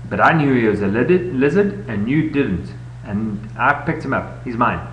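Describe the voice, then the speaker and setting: A man's voice: medium pitch, animated, normal speed, lo-fi sound, natural voice. A man voicing a glum, stubborn young schoolboy in a children's storybook, with short, matter-of-fact replies. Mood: possessive. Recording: echoey room, noisy webcam audio with hum.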